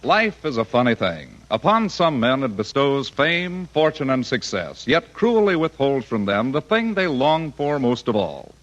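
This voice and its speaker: A man's voice: mid-range voice, sing-song, measured pace, hardly any pauses, muffled recording. A man announcing a 1940s radio show from a script in a grand, declamatory manner, on an old recording. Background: loud music.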